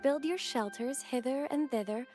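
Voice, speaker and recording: Woman voicing a gentle, high-voiced young acolyte. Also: close to an everyday voice. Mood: solemn, reverent. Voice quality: pleasant, clearly enunciated. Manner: formal, measured storytelling. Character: gentle young female acolyte guiding visitors, devout and courteous. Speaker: game character, guide. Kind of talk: scripted game dialogue and legend narration. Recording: background music under voice.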